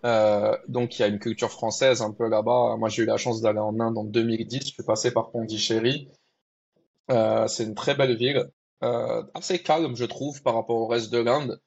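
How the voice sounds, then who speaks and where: A man's voice: mid-range voice, level tone, normal speed, gravelly, darkish tone, slightly rough, dull audio. A man hosting an interview, asking questions in a friendly, conversational way. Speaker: podcast host. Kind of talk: casual interview.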